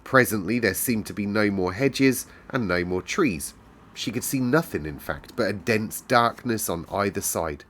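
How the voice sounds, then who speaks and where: A man's voice: lowish voice, lively intonation, normal speed, a bit bright, a little hiss or hum. A British man narrating a storybook aloud as a storyteller. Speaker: storyteller, host.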